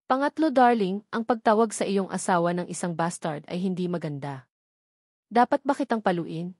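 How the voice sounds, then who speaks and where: A woman's voice: lowish voice, animated, moderate pace, darkish tone, breathy edge, dry recording, studio quality. A woman voicing a cocky, teasing male martial-arts warrior in audiobook dialogue.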